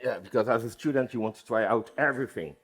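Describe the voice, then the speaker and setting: A man's voice: medium pitch, fast talker, fairly bright tone, slightly rough. A half Dutch, half French man with a French accent, joking around as a conference speaker. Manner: playful, joking. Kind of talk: conference talk. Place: conference hall.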